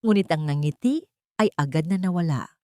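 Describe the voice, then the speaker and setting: Low-pitched woman narrating a dramatic love story. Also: animated, normal speed, darkish tone, dry recording, studio quality. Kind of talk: dramatic scripted romance narration.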